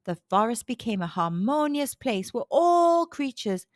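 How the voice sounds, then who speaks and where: A woman narrating a scripted children's storybook in an expressive, measured storytelling manner. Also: a little high, dry recording, clean studio sound.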